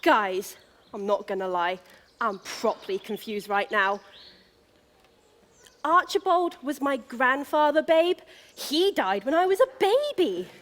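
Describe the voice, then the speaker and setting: A brash young pantomime prince, played by a woman, who talks like a hyped-up vlogger. The voice is high and swoops widely, stretching words out slowly for effect. The slang is laid on thick, with dim, cocky excitement. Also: exaggerated voice. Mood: baffled, cocky. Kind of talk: theatrical pantomime stage acting.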